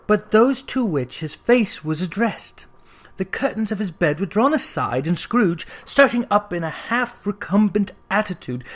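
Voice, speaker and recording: A man narrating a classic Victorian ghost story as an audiobook, in a theatrical, expressive storytelling manner. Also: high voice.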